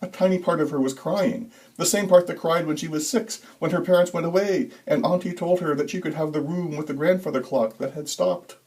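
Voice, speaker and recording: A man giving a dramatic, deliberate reading of a scripted story as narrator, with suspenseful, expressive emphasis. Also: fairly high pitch, poor audio. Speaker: author reading own story.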